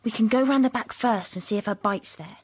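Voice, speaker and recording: A woman acting in a British radio drama as a stubborn young friend, with a husky voice. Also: medium pitch, brisk, natural voice. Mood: decided.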